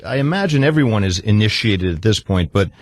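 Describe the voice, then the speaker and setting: A man interviewing in a therapy style, deliberate and analytical, pausing as he offers interpretations. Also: lowish voice, animated, no echo. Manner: measured, deliberate, analytical, with halting pauses. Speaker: psychologist interviewer.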